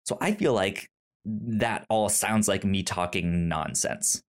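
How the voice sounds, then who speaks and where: A man hosting a casual book discussion, halting and rambling, with a stutter that repeats sounds and a self-deprecating manner. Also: fairly low pitch. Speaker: podcast host.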